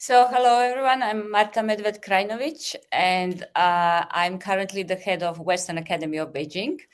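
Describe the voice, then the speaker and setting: A woman from Croatia who heads a school, introducing herself on a video call and telling her story warmly. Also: mid-range voice, fairly even intonation, moderate pace, smooth. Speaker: head of school. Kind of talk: panel self-introduction.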